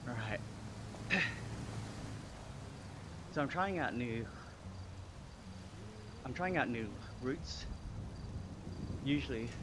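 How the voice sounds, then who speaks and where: A man's voice: mid-range voice, background noise. A man in his thirties with an Australian accent, chatting casually on a live stream while riding an indoor bike trainer, a little out of breath. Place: home indoor cycling setup.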